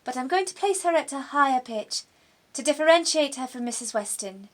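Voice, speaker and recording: A woman narrator with a fairly high voice, thinking aloud as she demonstrates character voices. She slips in and out of characters as she talks. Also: expressive, fairly quick. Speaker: audiobook narrator and producer.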